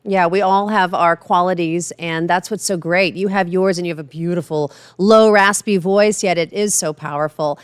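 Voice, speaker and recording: A middle-aged woman asks the questions in a smooth, clear voice recorded close and dry. Her pitch sits at a middle level, and she sounds encouraging and generous with praise. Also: steady tone, normal speed, few pauses, no room sound. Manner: encouraging, complimentary. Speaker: TV news interviewer. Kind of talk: friendly interview questions.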